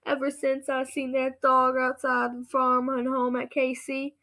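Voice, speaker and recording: A woman playing a bossy male gangster boss with a soft spot for dogs in a student radio play. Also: high voice, monotone, unhurried. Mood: wounded, sheepish.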